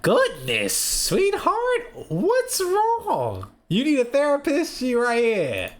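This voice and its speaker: A man giving loud, mocking, slangy running commentary as he reacts to a dating show. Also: very high-pitched, wildly swooping pitch, slow pace. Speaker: reaction video host.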